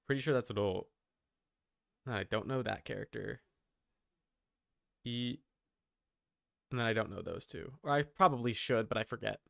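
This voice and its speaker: A man's voice: lowish voice, moderate pace, creaky, pauses often, fairly bright tone, no room sound. A man streaming casual reaction commentary, thinking aloud in broken phrases close to the mic.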